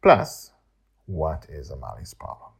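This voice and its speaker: A middle-aged man hosting a solo podcast, talking informally to listeners in an emphatic, halting way with swings in loudness. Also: very deep voice, huge pitch swings, gravelly, husky. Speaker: podcast host and author.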